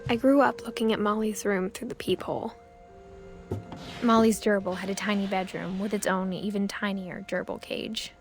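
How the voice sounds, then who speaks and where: A young American woman playing a wistful, curious teenage girl, narrating family memories in a soft, hushed, reflective way for a story game. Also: mid-range voice, fairly even intonation, moderate pace, slight background noise, close to an everyday voice. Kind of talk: intimate, reflective scripted narration. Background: game soundtrack music.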